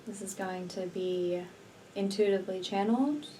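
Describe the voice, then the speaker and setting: A woman leading a guided healing meditation in a slow, soothing, deliberate manner with long pauses between phrases. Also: mid-range voice, steady tone. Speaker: energy healer and meditation guide. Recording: distant mic, faint background noise.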